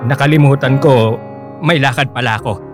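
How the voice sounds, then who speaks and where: A man's voice: mid-range voice, normal speed, music in the background, lightly acted. A man playing a middle-aged father of grown children, a nudging family man, in a Filipino radio drama. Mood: awkward.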